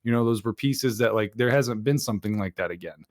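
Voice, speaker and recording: A man's voice: fairly low pitch, a little fast, no echo, studio quality. A man co-hosting a gaming podcast, chatting casually and giving blunt opinions with some swearing. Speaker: podcast co-host. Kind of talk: casual panel chat about video games.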